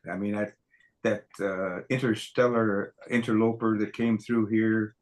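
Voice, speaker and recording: A man musing slowly on a video call, hesitant with many fillers. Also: lowish voice, flat delivery, a little mellow. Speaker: meeting participant. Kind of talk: casual chat on a video call.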